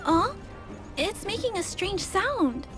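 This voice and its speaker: A woman voicing a gentle, earnest young girl who repairs robots in a video game. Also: extremely high pitch, very animated, slow pace, music in the background, exaggerated voice. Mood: puzzled, worried.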